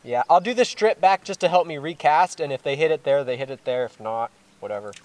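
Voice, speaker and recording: A man's voice: a little high, a little fast, a little mellow. A man in his twenties chatting casually in a laid-back, slangy way, vlogging outdoors into a handheld camera. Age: 20s. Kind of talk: casual outdoor vlog chat. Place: outdoors on a riverbank by a boat. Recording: clear outdoor background noise, boat and water.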